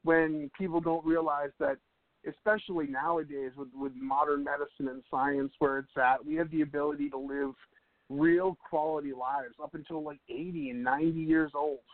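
A man's voice: on the high side, fairly even intonation, normal speed, no echo. A man in his mid-thirties talking casually on a radio show, often restarting his sentences and laughing easily. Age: 30s. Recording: dry, tinny phone-like line.

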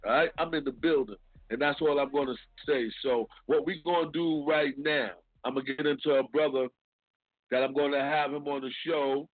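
A man hosting a radio show, giving casual shout-outs and plugs in an informal way with repeated catchphrases. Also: fairly high pitch, steady tone, moderate pace, no echo. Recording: tinny phone-like radio audio, faint music.

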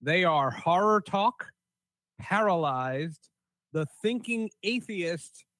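A middle-aged man hosts a casual podcast. His voice sits somewhat high, recorded dry with no room sound. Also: expressive, slow pace, a bit bright, no room sound. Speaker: podcast host. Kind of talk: casual hosted podcast talk.

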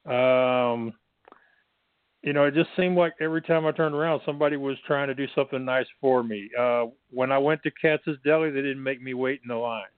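A man's voice: medium pitch, brisk, smooth, dry recording. A man from Texas with a Texan accent, a guest author chatting casually from his bedroom, rambling with fillers and restarts. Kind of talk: casual interview. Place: bedroom at home. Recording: tinny phone line.

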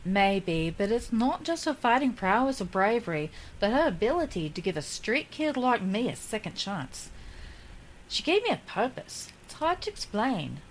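An earnest young woman who grew up on the streets, acted by a woman, speaks with a lively, moving pitch. She sounds heartfelt as she explains what gave her purpose. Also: normal speed, a little hiss or hum, poor audio.

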